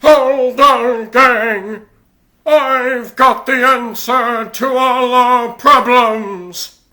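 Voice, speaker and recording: A man's voice: very high voice, fairly even intonation, very slow, bright, forceful tone, heavily acted. A man playing a bossy, wisecracking rabbit superhero leader in a comic book cartoon dub. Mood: confident.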